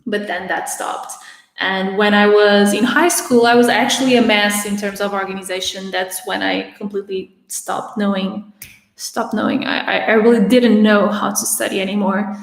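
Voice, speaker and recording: A raspy-voiced woman in her twenties chats through viewer questions as a livestream host, restarting her sentences now and then. Her voice sounds a little strained, and it rings out in a roomy space with a long reverb tail. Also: medium pitch, fairly even intonation, moderate pace. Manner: chatty, with frequent restarts and laughter. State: having trouble speaking. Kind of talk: casual Q&A livestream.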